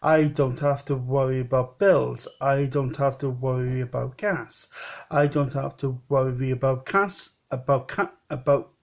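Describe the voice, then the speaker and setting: A man's voice: medium pitch, unhurried, a little mellow. A man in his late thirties talking in a rambling personal vlog, often repeating himself and restarting. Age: 30s. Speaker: vlogger.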